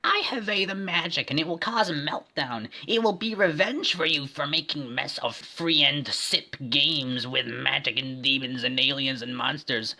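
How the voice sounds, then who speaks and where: A gloating, vengeful villainess in a parody story, played by a man. The voice is high and melodramatic as it delivers threats. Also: moderate pace, hardly any pauses, bright, forceful tone, heavily acted, low-quality recording. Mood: menacing. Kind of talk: parody fan fiction villain voice.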